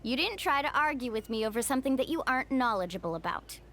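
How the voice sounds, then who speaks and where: A woman's voice: sits high, lively intonation, fast talker, a bit bright. A woman playing a cheerful, professional young tour guide in an anime-style video game. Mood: bubbly, assured.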